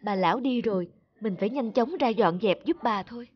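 A woman playing a gentle, dutiful young fairy girl in a cartoon folk tale, polite and earnest. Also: on the high side, fairly quick, dull audio, natural voice. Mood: hurried.

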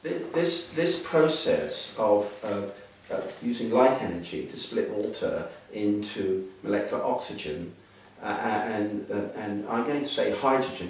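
Older male scientist, low gravelly voice, halting delivery, roomy echo, set back from the mic. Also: distant mic. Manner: halting, many fillers and restarts. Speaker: scientist interviewee. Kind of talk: explaining to an interviewer.

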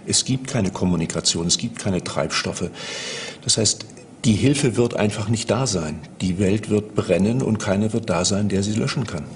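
A man's voice: lowish voice, normal speed. A man giving explanatory commentary as an interviewed expert. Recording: long reverb, faint background noise.

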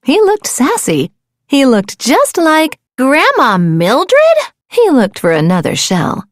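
A woman narrating a children's storybook in a dramatic, playful and deliberate way. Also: high voice, wildly swooping pitch, dry recording, clean studio sound. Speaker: audiobook narrator.

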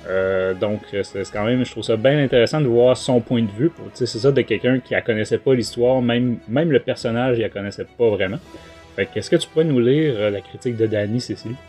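A man's voice: medium pitch, a little fast, dark tone. A man with a Quebec French accent hosting a casual review discussion, explaining things in colloquial language. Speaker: podcast host. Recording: music under the voice.

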